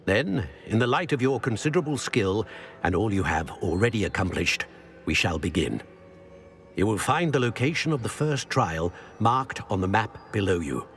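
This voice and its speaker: A man voicing an ancient guardian of secrets, speaking in a grave, formal, measured way. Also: fairly low pitch, sing-song, soft background music. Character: solemn ancient male keeper of secrets.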